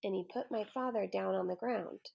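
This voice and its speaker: A woman teacher reading a children's story aloud with expressive, clearly phrased narration. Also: mid-range voice, normal speed. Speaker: third grade teacher.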